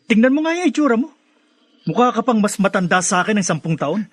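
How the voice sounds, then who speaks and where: Radio drama casting: self-assured, teasing big brother, Filipino accent, lighter-pitched, close dry sound. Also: male voice, sing-song, quick pace, dry recording. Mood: mocking, defensive.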